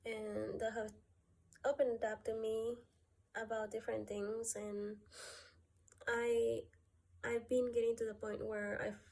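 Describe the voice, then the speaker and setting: A woman from Peru talking through a personal gratitude list, softly and hesitantly, with many pauses and fillers. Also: a little high, little pitch movement, vocal fry, rough recording. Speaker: vlogger.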